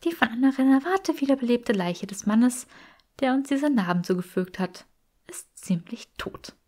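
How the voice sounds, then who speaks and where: Woman voicing a soft, breathy, earnest young healer woman. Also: a little high, expressive, normal speed, pitched above the performer's own voice. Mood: thoughtful. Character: gentle, forgiving, weary young woman healer.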